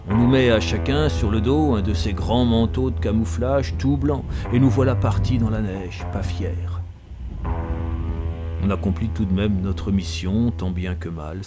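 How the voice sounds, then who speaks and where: Old soldier spinning tall tales , played by an actor. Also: male voice, mid-range voice, natural voice. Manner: conversational, teasing, addresses the listener directly. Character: talkative old male ex-soldier telling tall tales in a tavern. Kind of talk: audio drama storytelling.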